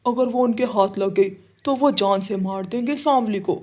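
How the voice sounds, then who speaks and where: Woman voicing an urgent husky pigeon. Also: mid-range voice, normal speed, a little mellow, close to an everyday voice. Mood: alarmed. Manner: urgent, warning. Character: urgent adult male pigeon messenger.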